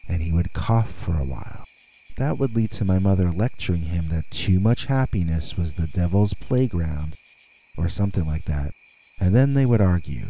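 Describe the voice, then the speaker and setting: Husky, very dark-voiced storytelling man. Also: low voice, poor audio. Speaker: narrator and reader of scary stories. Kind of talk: scripted first-person horror storytelling.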